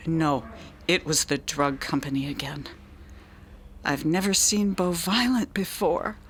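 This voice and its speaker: An actress playing a distraught, trusting wife in an audio drama, anxious and hesitant. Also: female voice, deep voice, a little slow, a little hiss or hum. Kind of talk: naturalistic audio drama acting.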